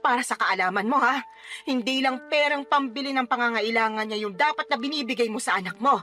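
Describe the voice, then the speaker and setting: A woman's voice: on the high side, a little fast, sharp tone, soft background music. An actress playing a stern mother who bluntly scolds her grown daughter in a radio drama. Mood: scolding. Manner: scolding, blunt, later teasing.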